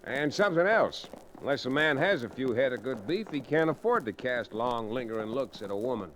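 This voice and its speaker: An actor playing a level-headed frontier lawyer and rancher with folksy delivery in an old-time radio Western. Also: male voice, mid-range voice, animated, brisk, a bit bright, a little hiss or hum, natural voice. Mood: teasing banter. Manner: folksy, easygoing storytelling.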